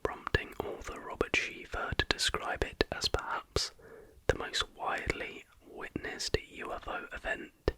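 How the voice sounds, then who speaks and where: An ASMR host reading a scripted account in a whisper, with unhurried, deliberate phrasing.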